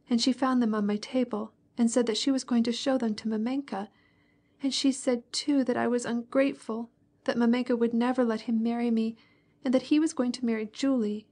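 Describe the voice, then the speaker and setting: A woman's voice: fairly high pitch, darkish tone, breathy edge. A woman voicing a heartbroken tearful young girl.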